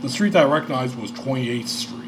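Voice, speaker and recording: A man's voice: medium pitch, expressive, a little fast, noisy background. Guy in his 30s rambling on a podcast, swears a lot, ac humming behind him. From Michigan, United States. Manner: rambling, profane, storytelling with many fillers. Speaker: podcast host. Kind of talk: casual podcast chat.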